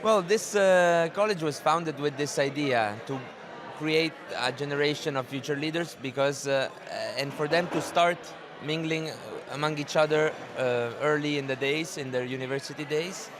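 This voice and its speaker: Hesitant higher-voiced man amid crowd noise. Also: moderate pace. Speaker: interviewee. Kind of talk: interview answer.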